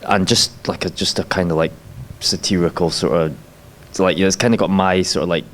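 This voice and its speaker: Low male actor from Scotland, loose and rambling on a panel. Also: fairly even intonation, fairly quick, a bit bright, a little hiss or hum. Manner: rambling, heavy fillers like "like" and "you know". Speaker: actor and filmmaker. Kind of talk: festival panel talk.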